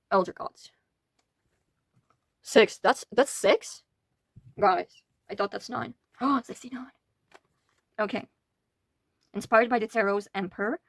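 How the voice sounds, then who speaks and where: A young woman from Latvia reads tarot for her viewers in a loose, chatty way. She stumbles and restarts her words and drops the occasional swear. Her voice sits at an ordinary middle pitch, recorded dry and close with no room sound. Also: no room sound. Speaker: tarot reader. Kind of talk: casual pick-a-pile tarot reading.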